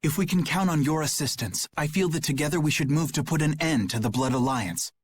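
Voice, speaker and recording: Dutiful young knight, anime game: higher male register, husky edge, formal, sincere, courteous. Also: fairly quick. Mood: earnest, formal. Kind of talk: anime video game voice acting. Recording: background music behind the voice.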